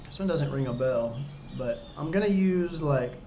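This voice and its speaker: A man tutoring viewers through real estate exam questions in a casual, chatty way, joking and going a bit hoarse. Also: mid-range voice, lively intonation, moderate pace, warm tone, echoey room, a little hiss or hum. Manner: chatty, talks straight to viewers, jokey asides. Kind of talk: casual practice-exam walkthrough.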